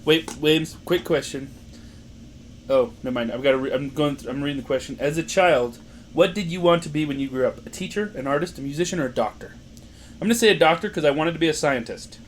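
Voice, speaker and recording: A young man with a loud voice, co-hosting a casual podcast, joking, laughing and reading quiz questions aloud. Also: fairly high pitch, quick pace, slight background noise. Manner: joking, playful, laughs often. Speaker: podcast co-host.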